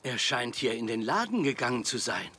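An older man voicing a kindly, playful grandfather zebra in a children's cartoon, gentle, musing and encouraging. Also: mid-range voice, sing-song, moderate pace, close to an everyday voice. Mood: thoughtful. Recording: clear music bed behind.

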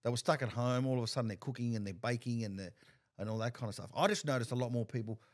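Casting note: middle-aged man, podcast host, mid-pitched, stop-start casual questioning. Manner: halting, repeats words while thinking. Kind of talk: casual interview chat. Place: bar-like studio room, indoors. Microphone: desk mic on a stand.

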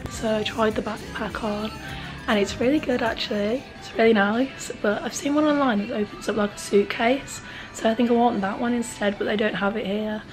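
A young woman with a Birmingham accent talks into a close handheld camera while sitting in her car. She has lost her voice, so it comes out hoarse and worn. She rambles candidly and uses lots of "like", and her pitch sits a little high, with faint music under her voice. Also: steady tone, moderate pace, poor audio. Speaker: vlogger. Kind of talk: casual chatty vlog. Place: inside a parked car.

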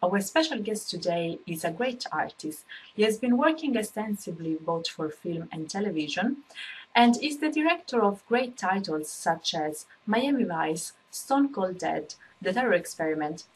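A woman's voice: mid-range voice, expressive, normal speed, poor audio. A young woman presenting a scripted interview as the host. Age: young adult. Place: indoors against a plain wall. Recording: noticeable background noise and ambience.

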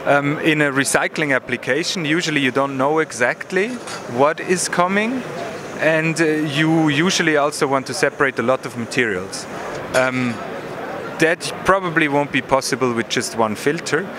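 A man in his thirties or forties giving a measured technical explanation in an interview, pausing to find words, on a handheld microphone. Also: on the high side. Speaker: product manager explaining a demo. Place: busy exhibition hall booth. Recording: noisy trade fair hall ambience.

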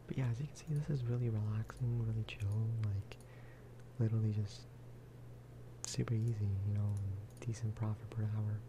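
Soft-spoken man with a flat, lowish voice. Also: moderate pace, background noise. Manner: casual, rambling. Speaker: gaming video creator. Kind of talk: soft-spoken ASMR game commentary.

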